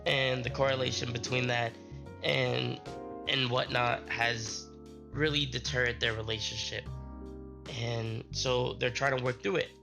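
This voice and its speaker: A young adult man, sick with a strained voice, chatting casually on a live stream in a halting, rambling way. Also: medium pitch, flat delivery, vocal fry, music in the background.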